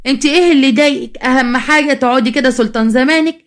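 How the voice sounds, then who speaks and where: A woman's voice: a little high, racing, close to an everyday voice. A woman storyteller voicing a bold, confrontational middle-aged mother who runs a market stall, sarcastic and street-tough, with an Egyptian accent. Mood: loud, persuasive. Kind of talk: dramatized storytelling.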